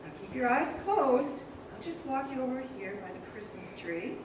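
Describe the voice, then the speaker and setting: A woman plays a devoted wife, tender and bubbling with excitement. Her lively high voice comes from a radio play on stage, far from the mic in a big echoey room. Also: moderate pace. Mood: eager excitement. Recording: echoey theater, far mic, clear noise.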